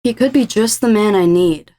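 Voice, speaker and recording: A woman's voice: mid-range voice, normal speed, mellow, lightly acted. A woman playing the devil's daughter, a coolly self-possessed, sinister succubus, in a horror audio drama. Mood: cool, intrigued. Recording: music under the voice. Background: music.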